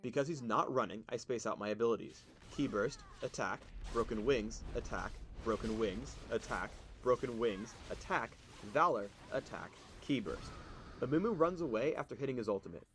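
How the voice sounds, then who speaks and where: A man with a mid-pitched voice narrates gameplay from a script. His delivery is measured and instructional, like a tutorial. Some noise sits behind the voice. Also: animated, a bit bright.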